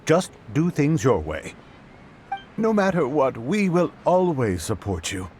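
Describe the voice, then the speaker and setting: A lively, supportive townsman. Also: on the high side, a little slow, a little hiss or hum. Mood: warm. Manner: encouraging, with playful teasing.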